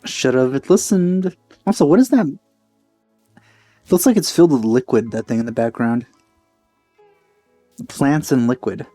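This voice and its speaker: A man's voice: mid-range voice, a little slow, pauses often, mellow, no room sound, faint music behind. A man giving casual, musing let's play commentary on a video game, with empathy. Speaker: let's player.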